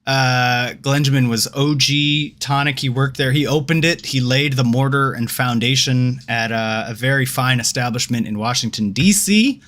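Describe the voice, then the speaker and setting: A man in his forties hosting a casual chat show, relaxed and sprinkling in "uh" fillers. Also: medium pitch, moderate pace, few pauses. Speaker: podcast host.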